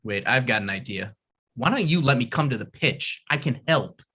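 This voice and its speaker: Brief: male actor as an insecure young writer, husky voice, lively and pleading. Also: mid-range voice, moderate pace. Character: needy, self-deprecating male screenwriter, young adult. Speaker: actor reading the lead at a table read. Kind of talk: comedic table read.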